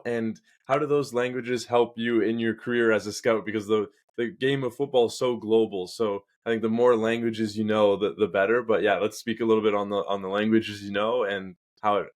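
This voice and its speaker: A man hosting a podcast, asking rambling, relaxed interview questions. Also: fairly low pitch, brisk, hardly any pauses. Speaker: podcast host.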